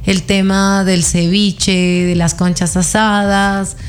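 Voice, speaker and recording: A woman's voice: mid-range voice, takes it slowly, a little hiss or hum. A woman with a southern coastal Ecuadorian accent, a guest chatting casually and expressively in an interview. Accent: southern coastal Ecuadorian Spanish. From Ecuador, El Oro province. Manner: chatty, expressive, frequent laughs and fillers.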